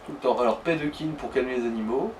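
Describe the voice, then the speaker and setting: A man's voice: medium pitch, fast talker, darkish tone, distant mic, rough recording. A man talks a player through a game in casual chat. His explanations come out in hesitant pieces. He sounds set back from the microphone, over faint background noise. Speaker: advisor to the player in a let's play.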